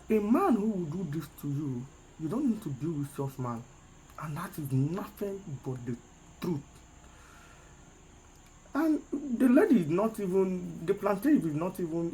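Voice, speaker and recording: An animated commentator reacts to a court case with big swings in pitch. Phrases get repeated for emphasis, and some noise sits behind the voice. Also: low-quality recording.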